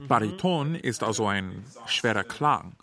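An opera singer delivering a scripted, introspective radio play monologue in a measured way. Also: medium pitch, expressive, crowd noise. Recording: radio production with loud music. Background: loud music.

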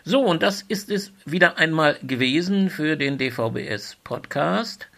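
A man's voice: on the high side, expressive, slow pace, vocal fry, fairly bright tone. Podcast host, male, voice a little above average, informal moderation.

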